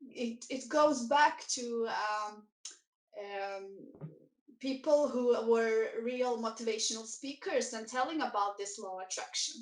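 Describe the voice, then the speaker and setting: A woman's voice: on the high side, measured pace, echoey room, rough recording. A young woman from former Yugoslavia, a life and business coach, answering interview questions hesitantly with many fillers as she searches for words. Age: young adult. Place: studio.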